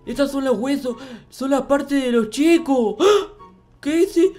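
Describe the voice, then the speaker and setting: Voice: high-pitched, expressive, slow, darkish tone, faint music behind. A performer voicing a naive, easily frightened childlike boy in a Minecraft roleplay, whiny and stammering as words repeat. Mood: panicked, tearful. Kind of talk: improvised in-character gaming roleplay.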